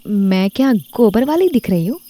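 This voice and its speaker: A woman's voice: medium pitch, sing-song, normal speed, warm tone, no echo, lightly acted. A woman voicing a stubborn, proud young daughter-in-law from a village in a cartoon story, defiant and touchy. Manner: defiant, indignant.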